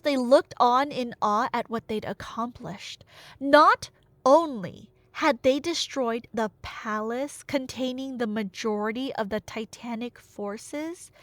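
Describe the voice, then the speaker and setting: A woman with a high, swooping voice tells a myth in an expressive, chatty way. She takes her time, dropping wry asides into the drama. The sound is close and dry. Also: no room sound. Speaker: storyteller. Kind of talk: dramatic casual retelling of myth, roleplay audio.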